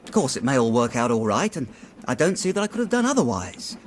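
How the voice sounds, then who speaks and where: An actor playing an earnest, worried young city clerk in a radio drama, chatty and slangy but deferential. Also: male voice, mid-range voice, very animated, fast, a little hiss or hum, lightly acted. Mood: rueful. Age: young adult.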